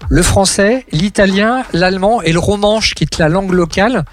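A man's voice: sits high, moderate pace. A man hosting a radio interview, asking friendly, conversational questions. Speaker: radio host.

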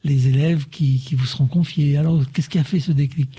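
A man's voice: on the high side, steady tone, brisk, warm tone, slightly rough. A man interviewing two nuns, phrasing his questions hesitantly with many fillers.

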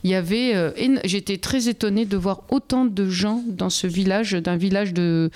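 Smooth-voiced woman, hesitant and halting. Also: medium pitch, darkish tone. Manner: hesitant, many fillers and restarts. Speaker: interview guest, Erasmus project member. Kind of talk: casual interview conversation.

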